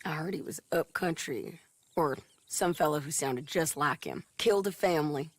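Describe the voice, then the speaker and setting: An actress playing a hardened frontier bounty hunter who speaks in a blunt, matter-of-fact, plain-spoken way in a video game cutscene. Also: female voice, low voice, moderate pace, husky, no room sound.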